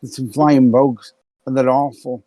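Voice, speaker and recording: A man's voice: mid-range voice, little pitch movement, a little slow, mellow. Chatty middle-aged man host. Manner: chatty, rambling, self-mocking. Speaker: podcast host. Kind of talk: casual chat.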